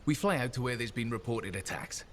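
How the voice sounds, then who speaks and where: A man's voice: medium pitch, animated, a little fast, a little hiss or hum, natural voice. A man voicing a chatty, upbeat mercenary buddy. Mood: friendly. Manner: upbeat, storytelling.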